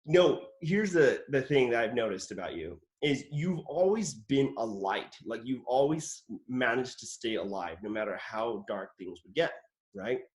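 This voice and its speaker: A man's voice: mid-range voice, expressive, a little slow. A man in his late thirties hosting an interview over a video call, chatty and admiring toward his guest. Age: late 30s. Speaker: host, actor. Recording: roomy echoey room.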